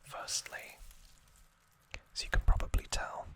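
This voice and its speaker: A man hosting an ASMR unboxing, speaking in a breathy whisper, gently and unhurriedly in short phrases with pauses. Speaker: ASMR video host.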